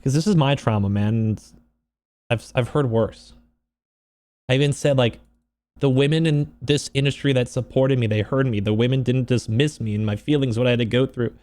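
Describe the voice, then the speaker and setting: A man speaking off the cuff in a personal vlog, upset and close to crying, halting and repeating himself earnestly. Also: medium pitch, darkish tone. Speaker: streamer and video creator. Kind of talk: unscripted personal vlog monologue.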